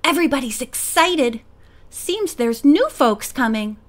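A woman voicing a chatty, brisk robin calling out in a children's storybook. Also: sits high, lively intonation. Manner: calling out, breezy.

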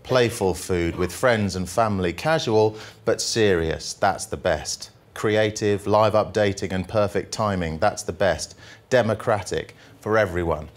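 Low-voiced middle-aged man reading aloud. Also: a little slow, hardly any pauses. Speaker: journalist reading poems at a workshop. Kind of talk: live poetry reading with casual asides to an audience. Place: indoor presentation room with projection screen. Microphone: handheld microphone.

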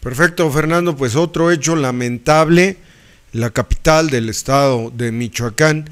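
A man, presenting radio news from a studio mic in a measured, emphatic way. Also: mid-range voice, clean tone. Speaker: news anchor. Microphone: studio mic on arm.

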